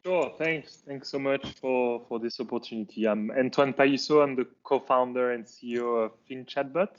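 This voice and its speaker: A man's voice: medium pitch, fairly even intonation, normal speed, lo-fi sound. A man, a startup chief executive on a panel, introducing his company with many hesitant fillers. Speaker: startup co-founder and CEO, panelist.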